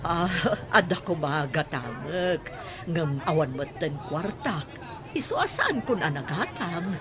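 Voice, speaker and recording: A woman's voice: deep voice, sing-song, a little slow. An actress playing a sarcastic, nosy middle-aged woman in a radio drama. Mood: mocking. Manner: mocking, bantering. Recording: crowd chatter behind.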